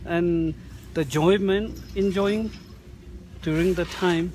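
A man who is a Buddhist monk, chatting slowly in halting English while riding a ski chairlift and searching for words. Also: sits high, steady tone, a little mellow, slight background noise. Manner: slow, searching for words, with fillers. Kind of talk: casual chat in halting English. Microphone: phone held at arm's length.

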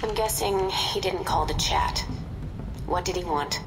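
An actress playing a composed, wry young intelligence handler in a video game, efficient and matter-of-fact. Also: female voice, lowish voice, a little slow. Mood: wry, curious. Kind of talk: video game cutscene acting. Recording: distant, reverberant, music underneath.

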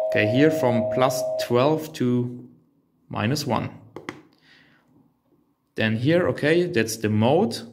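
Male hobby reviewer, native German speaker, unhurried and hesitant, talking through a device step by step. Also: mid-range voice. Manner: hesitant, thinking aloud. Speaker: reviewer demonstrating a radio transceiver. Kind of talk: unscripted hands-on product walkthrough.

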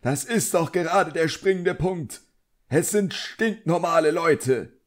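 A man's voice: a little high, slow, voice pushed up. A man voicing an irritable, contemptuous middle-aged wizard official in an audiobook, indignant, pompous and barking. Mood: irate, dismissive.

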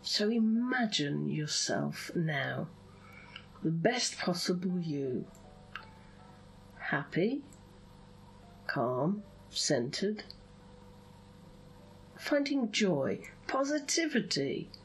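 Woman for hypnosis session; lively rise and fall, unhurried breathy phrasing that sinks into whispers. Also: on the low side, a little hiss or hum, poor audio. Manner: soothing, drawn-out phrasing, often drops into breathy whisper. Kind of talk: hypnosis session, guided visualization.

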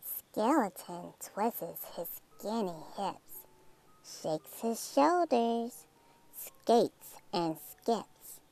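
A woman reading a rhyming children's picture book aloud in a dramatic, theatrical way, drawing out words and dropping into hushed phrases. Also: a little high. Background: music.

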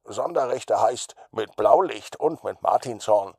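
A man's voice: medium pitch, expressive, measured pace, vocal fry, dark tone, husky, no room sound, clean studio sound, full character voice. A man voicing a friendly, welcoming police officer who gives casual instructions in a children's bedtime story. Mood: friendly, inviting. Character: friendly adult male police officer on the radio.

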